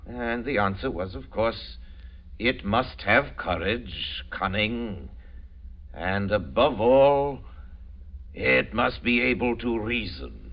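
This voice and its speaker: Cultured villainous general, animated delivery, savored words, old radio play, dull lo-fi recording. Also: male voice, lowish voice, slow, gravelly, heavily acted. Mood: cool, menacing. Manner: theatrical, urbane, boastful, savoring his words. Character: suave, cultured aristocratic general who hunts men for sport. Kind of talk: 1940s radio drama acting.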